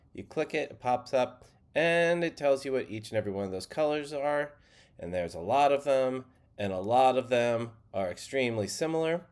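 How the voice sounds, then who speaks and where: Congested, nasal man teaching a lesson. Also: medium pitch. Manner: relaxed, plainspoken teaching. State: stuffy nose, slightly nasal. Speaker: college geology instructor. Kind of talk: screen-share lecture.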